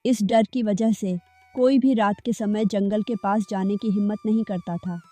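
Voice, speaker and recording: A woman narrating a cartoon folk story in a steady, measured way. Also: mid-range voice, dry recording. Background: faint background music.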